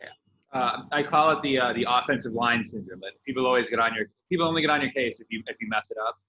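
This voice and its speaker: A man co-hosting a casual sports interview, halting and repeating words as he frames his questions. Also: mid-range voice, sharp tone, muffled recording, slight background noise. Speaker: podcast co-host, interviewer.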